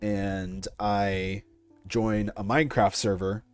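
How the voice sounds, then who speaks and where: Male, twenties, lowish pitch, casual interviewee, hesitant delivery, dry recording over soft music. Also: flat delivery, slow, smooth, no room sound. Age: 20s. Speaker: creator being interviewed about an audio project.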